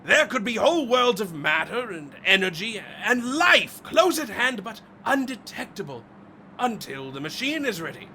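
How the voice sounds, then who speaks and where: An actor playing an obsessive, defiant scientist in a radio drama, with a grandiose, declamatory and intense delivery. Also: male voice, high voice, very animated, normal speed, pushed and bright, slight background noise, full character voice. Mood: grandly intense. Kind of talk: theatrical radio drama acting.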